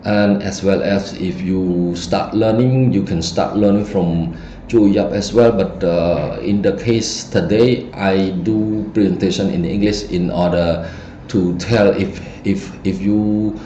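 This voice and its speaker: A man with a Cambodian accent, whose first language is Khmer, teaches a feng shui lesson in slow, halting English, pausing often on fillers and restarting his phrases. His voice is very low and dark, and it comes through a dull, muffled recording with a roomy echo. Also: fairly even intonation, slight background noise. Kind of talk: online presentation lesson.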